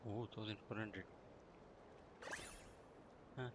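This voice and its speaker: A man's voice: fairly low pitch, fairly even intonation, slow, dull audio. A man livestreaming a game with casual, off-the-cuff commentary, with slight noise in the recording. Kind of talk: casual playthrough commentary, muttering and echoing dialogue.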